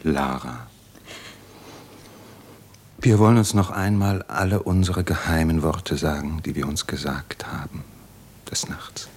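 An actor in a German radio play, playing a tender, solemn poetic man in love, speaking in a hushed, reverent way. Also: rumbling low, measured pace, pauses often, a little hiss or hum.

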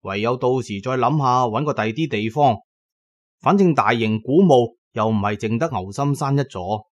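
A man's voice: mid-range voice, moderate pace, highs cut off. A man with a Cantonese accent narrating a radio drama in the first person as a storyteller.

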